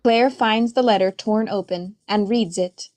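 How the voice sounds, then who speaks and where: A woman's voice: mid-range voice, a little slow, darkish tone. A woman reading stage directions as scripted narration for a play reading.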